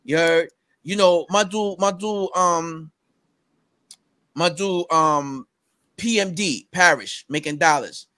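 Excitable New rapper, higher voice. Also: male voice, takes it slowly, long pauses, dry recording, studio quality. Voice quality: fairly high-registered voice. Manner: animated, recites rap lyrics with bursts of energy, many fillers. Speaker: rapper guest. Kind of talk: casual video-call interview. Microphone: headset on a video call.

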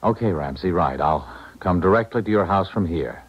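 An actor playing a cautious, reasoning colleague and confidant in a 1970s radio drama. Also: male voice, low voice, expressive, normal speed, breathy edge. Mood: calm. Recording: dull 1970s radio recording, music behind.

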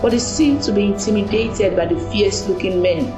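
A woman narrating an audio drama in a plain, steady storytelling manner. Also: mid-range voice, fairly quick. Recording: echoey room with music.

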